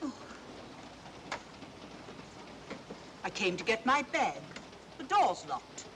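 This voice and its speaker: An actress playing a haughty, indignant older aristocrat, imperious in manner, in an old mystery film. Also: female voice, on the high side, big pitch swings, moderate pace. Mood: guarded. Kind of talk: 1940s mystery film acting. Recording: hissy old film soundtrack.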